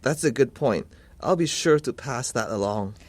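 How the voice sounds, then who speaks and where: A man's voice: medium pitch, expressive, moderate pace, a little mellow, close to an everyday voice. A man acting a polite, concerned uncle who asks questions in a scripted listening-test dialogue. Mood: polite, curious. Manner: polite, inquiring. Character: polite concerned uncle seeking advice for his niece.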